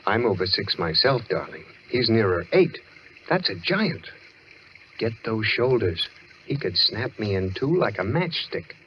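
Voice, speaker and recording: Lively, wisecracking husband. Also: male voice, fairly low pitch, a little slow. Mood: uneasy joking. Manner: wry, casual banter. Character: wisecracking, affectionate young husband. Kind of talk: old radio drama acting. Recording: muffled old radio, faint noise.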